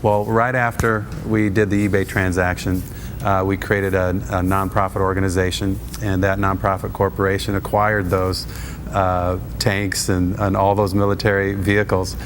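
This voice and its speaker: A man answering interview questions, telling his story in a steady, halting way with many fillers. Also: sits low, noisy background. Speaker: guest, auction business owner and minister.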